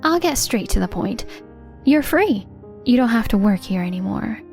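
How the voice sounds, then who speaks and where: Woman as a reserved, high-voiced young company president. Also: lively intonation, moderate pace, darkish tone. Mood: guarded, brisk. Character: reserved young female company president hiding her feelings. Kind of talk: anime-style manga dub acting. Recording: background music under the voice. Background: music.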